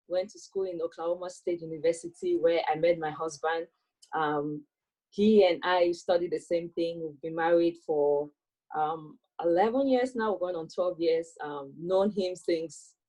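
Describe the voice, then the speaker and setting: A woman, speaking as a guest on a video call. her voice is fairly low and mellow, and she tells her story thoughtfully, pausing on lots of "um"s and "uh"s. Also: rough recording. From Cameroon. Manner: thoughtful, with many fillers and soft laughs. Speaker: guest, electrical engineer. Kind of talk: personal storytelling in an interview.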